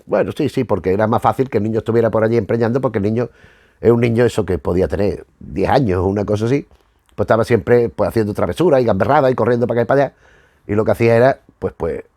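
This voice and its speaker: A man's voice: lowish voice, a little mellow, dry recording, clean studio sound. A middle-aged man with a Spanish accent from Spain, giving unscripted commentary in a rambling, digressive, self-correcting way. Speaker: host of a retro television review channel.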